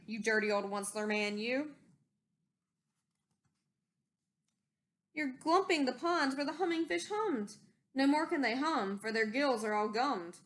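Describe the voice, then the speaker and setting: A woman's voice: high voice, a bit bright. A woman voicing an indignant old forest creature from a storybook, scolding emphatically in rhyme. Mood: angry, scolding.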